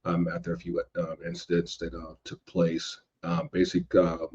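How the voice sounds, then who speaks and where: Deep, dark-voiced man on a dull recording. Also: completely flat delivery, a little hiss or hum. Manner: halting, circling back over phrases. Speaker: podcast guest. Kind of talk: casual podcast chat. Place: indoors at home in a city.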